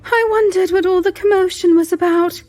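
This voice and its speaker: High, plaintive young royal mistress. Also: female voice, steady tone, fairly quick, darkish tone, faint music behind. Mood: wounded. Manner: plaintive, high-strung, indignant. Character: petulant, wounded young royal mistress, easily upset. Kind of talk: melodramatic audio drama acting.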